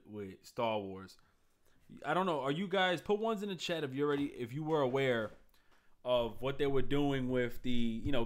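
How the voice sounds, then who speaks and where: A man live streaming from his desk mic, ranting to his chat in a loose, profane, rambling way. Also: mid-range voice. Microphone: streaming microphone at a desk.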